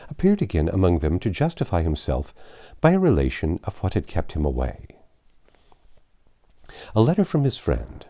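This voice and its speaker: A man narrating a classic novel aloud in a measured, formal storytelling manner. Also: sits low, dark tone, no room sound, clean studio sound. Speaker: audiobook narrator. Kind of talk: literary reading of a classic novel.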